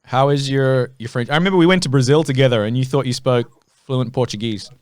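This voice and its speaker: A man hosting a podcast interview, asking questions in a quick, friendly way. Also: medium pitch, clear, resonant tone. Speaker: podcast host, former race commentator. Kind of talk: casual interview chat.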